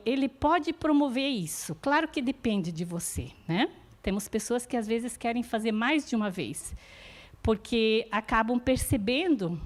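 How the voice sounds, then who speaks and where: A woman whose first language is not Portuguese, giving an earnest, encouraging church teaching talk about support groups and often checking in with her listeners. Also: a little high.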